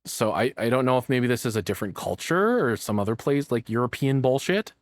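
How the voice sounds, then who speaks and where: A man's voice: medium pitch, expressive, fairly quick, no echo. A man giving casual, off-the-cuff reaction commentary as a streamer, rambling, joking and swearing as he goes.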